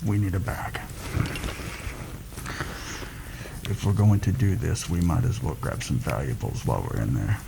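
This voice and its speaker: A man acting out a seasoned rebel assassin in an ASMR fantasy roleplay. The voice is low and creaky, kept soft and measured, with a wry, conspiratorial manner. There is clear noise behind it. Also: sing-song, heavily acted. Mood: dry, conspiratorial. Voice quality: gravelly, creaky. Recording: noticeable background noise.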